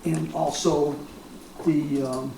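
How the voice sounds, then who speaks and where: An American man in his seventies, a war veteran reminiscing informally in a halting, rambling way with frequent pauses. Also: on the high side, flat delivery, mellow. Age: 70s. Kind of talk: informal reminiscence at a public talk. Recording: echoey, distant mic, clear background noise.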